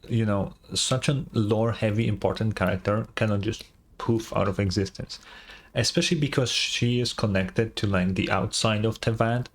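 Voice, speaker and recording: A man's voice: on the low side, steady tone, moderate pace. Casual male game streamer thinking aloud. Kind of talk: casual reaction commentary.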